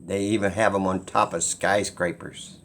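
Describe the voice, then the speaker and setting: A man's voice: low-pitched, fairly even intonation, moderate pace, a bit bright, slight background noise. A man giving an unscripted opinion monologue as a video creator, rambling and insistent, repeating phrases for emphasis.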